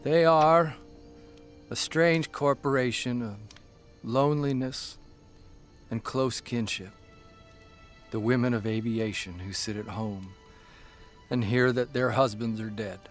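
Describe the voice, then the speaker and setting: Actor as sombre aviation columnist: mid-pitched, plainspoken, measured narration for a radio drama, faint music underneath. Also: male voice, close to an everyday voice. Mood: sombre, reflective.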